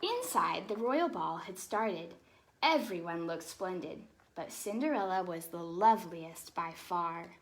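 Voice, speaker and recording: A woman reading a children's storybook in an expressive, animated way, with explanatory asides to young listeners. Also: on the low side, a little slow, a little hiss or hum.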